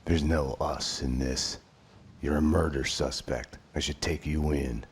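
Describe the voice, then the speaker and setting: An actor playing a brooding, world-weary police detective, narrating a noir game in a grim deadpan. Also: male voice, unhurried, exaggerated voice. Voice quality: very deep voice. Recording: clear music under the voice.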